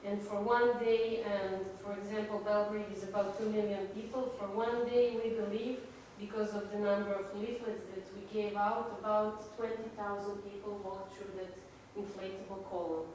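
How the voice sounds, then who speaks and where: A woman's voice: medium pitch, flat delivery, moderate pace, darkish tone, a little hiss or hum. A woman with a Serbian accent giving a conference presentation, walking through her slides with frequent "uh"s. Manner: explaining slides with frequent fillers. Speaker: patient advocacy speaker. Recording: echoey hall from far off.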